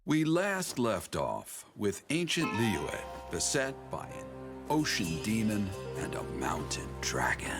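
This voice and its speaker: A man's voice: on the low side, huge pitch swings, takes it slowly, heavily acted. A man giving dramatic scripted narration for a game trailer. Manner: storytelling, dramatic. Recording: game trailer with loud music.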